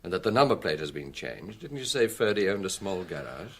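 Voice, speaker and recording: Casting: wry, probing middle-aged detective, British accent, husky, very animated delivery, radio drama. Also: male voice, sits low, heavily acted. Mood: sly, commanding. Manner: measured, probing, quietly wry. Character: shrewd, avuncular French police detective, middle-aged man.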